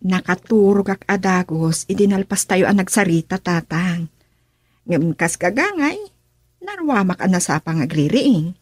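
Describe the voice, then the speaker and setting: Lively-pitched, caring daughter. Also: female voice, a little slow, slightly rough, no echo. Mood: caring, concerned. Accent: Ilocano. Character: caring grown daughter of a farmer, earnest. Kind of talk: Ilocano radio drama acting.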